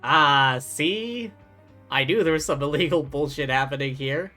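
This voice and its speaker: Game streamer, medium pitch, unhurried, halting asides, casual live reaction, music in background.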